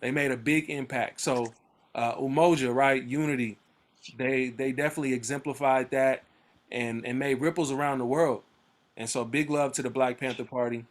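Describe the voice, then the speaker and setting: A man's voice: medium pitch, steady tone, normal speed. A man leading a book club over a video call, reading timeline entries aloud and commenting on them in a loose, conversational way with frequent fillers and restarts. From Oakland area, US. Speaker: book club discussion leader.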